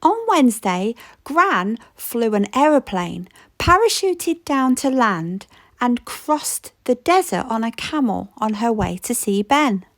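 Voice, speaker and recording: A woman reading a children's picture book aloud, slow and expressive with a playful rhythm. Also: on the high side, no room sound. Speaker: storybook reader.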